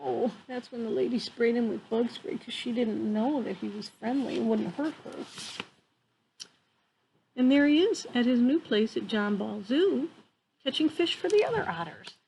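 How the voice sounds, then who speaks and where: A woman in her fifties reads a picture book aloud in an expressive way, pausing for casual asides about the pictures. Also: fairly high pitch, rough recording. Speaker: storyteller reading a picture book to children. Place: outdoor wooden deck among trees. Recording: clear background noise, outdoor ambience.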